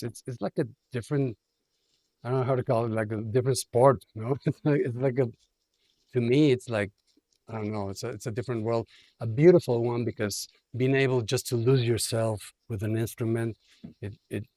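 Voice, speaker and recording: A male radio host talks in long, rambling questions, restarting his phrases and laughing now and then. His pitch sits mid-range for a man. The studio sound is close and dry. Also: mellow, dry recording. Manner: rambling, repeats words, laughs often. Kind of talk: interview conversation.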